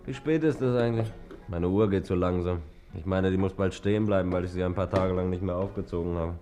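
A man playing a lonely, hunted bar customer in a radio play, halting and distracted in his speech. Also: sits low, over music, natural voice. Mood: restless.

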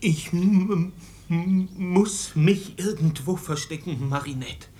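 An actress playing an anxious runaway seeking shelter in a radio drama, pleading haltingly with a heavy stammer. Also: female voice, on the low side, warm tone. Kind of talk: German radio drama acting.

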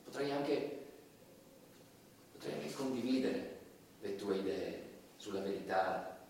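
An actor playing a stern, sardonic judge who interrogates with measured authority, in a theatrical stage style. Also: male voice, on the low side, animated, a little mellow. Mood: probing, controlled. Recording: echoey distant stage recording, faint noise.

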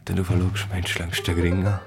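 An actor playing a solitary, brooding soldier in a radio drama, musing in hushed, contemplative fragments. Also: male voice, low voice, completely flat delivery, moderate pace. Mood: brooding, awed. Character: brooding, solitary soldier remembering desert nights. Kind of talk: radio drama inner monologue. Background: plucked guitar music.

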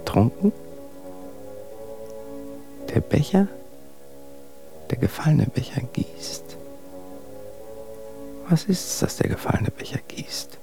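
Halting, anguished Romantic poet, swooping and whispering. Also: male voice, on the high side, sing-song, music in the background, heavily acted. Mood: haunted, searching. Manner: halting, searching, breaking into whispers. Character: anguished Romantic poet groping through his own love verses. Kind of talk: radio drama verse recitation.

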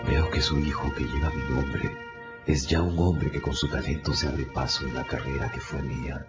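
An actor playing a serene, noble condemned lawyer, delivering a grave, prophetic monologue in a radio drama. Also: male voice, extremely low pitch, fairly even intonation, normal speed. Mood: serene, tender. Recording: dull recording, loud music behind.

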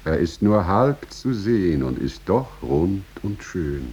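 Man, very low voice, dark and mellow, solemn verse recitation, measured phrasing, dull muffled recording. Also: sing-song, breathy edge. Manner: slow, measured, with drawn-out pauses and swelling emphasis. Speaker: reciter of poetry and fables. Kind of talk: solemn, dramatic poetry recitation.

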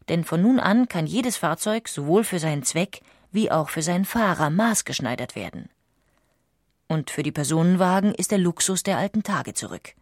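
A woman reading scripted documentary narration with measured, precise enunciation. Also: low-pitched, dry recording, clean studio sound.

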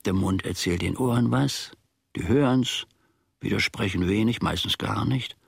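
An actor playing a grumbling, self-important manservant who muses pedantically to himself in a German radio play. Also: male voice, lowish voice, normal speed, creaky, slightly rough, no echo, clean studio sound, exaggerated voice. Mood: musing, self-satisfied. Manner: ruminating, pedantic, self-satisfied.

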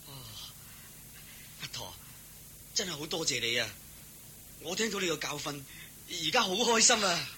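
An actor playing an eager, humble, good-hearted cowherd servant in a Hong Kong Cantonese radio drama, deferential and full of exclamations. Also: male voice, fairly high pitch, animated, normal speed, pauses often, bright, forceful tone, slight background noise. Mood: respectful, grateful.

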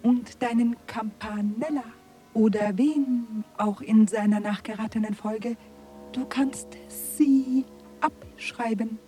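An actress playing a serene, superior alien authority in a German radio drama, speaking in an aloof, deliberate way. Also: female voice, on the high side, fairly even intonation, warm tone, faint music behind. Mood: superior, detached.

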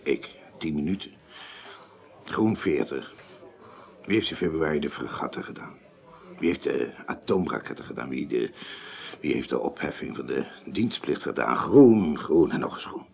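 An actor playing an embittered, washed-up politician and ex-naval officer near breakdown in a radio crime drama, speaking haltingly and with grievance. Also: male voice, very deep voice, lively intonation, vocal fry, a little mellow, heavily acted. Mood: indignant. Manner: hesitant, halting, aggrieved. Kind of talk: 1980s Dutch radio play acting.

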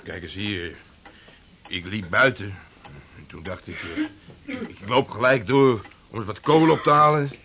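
A man's voice: on the low side, moderate pace, long pauses, background noise, close to an everyday voice. A man playing a sly, evasive sailor in a radio drama, stammering hesitant excuses. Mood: defensive. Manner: stammering, hesitant, self-justifying.

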